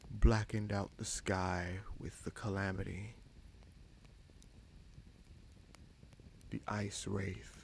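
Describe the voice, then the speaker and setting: An actor playing a wry, candid bastard prince in a fantasy audio roleplay, speaking in a measured, musing way with frequent pauses. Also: male voice, sits low, little pitch movement, gravelly, darkish tone, a little hiss or hum. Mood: reflective. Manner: measured, musing, pausing often mid-sentence. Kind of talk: fantasy audio roleplay, slow intimate monologue.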